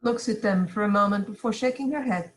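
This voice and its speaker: A woman's voice: mid-range voice, fairly quick. A woman reading stage directions as narrator in an amateur script read-through.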